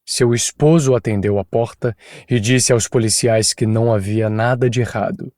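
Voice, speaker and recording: A man voicing an insistent police chief who questions a witness in a firm, measured way. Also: medium pitch, steady tone, darkish tone, no room sound, studio quality, pitched below the performer's own voice, natural voice. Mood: probing.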